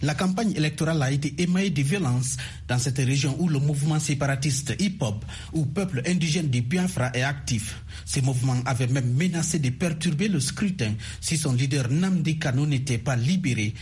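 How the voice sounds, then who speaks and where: A man's voice: a little high, normal speed, darkish tone, breathy edge. A man delivering a formal radio news report from the field. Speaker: radio correspondent.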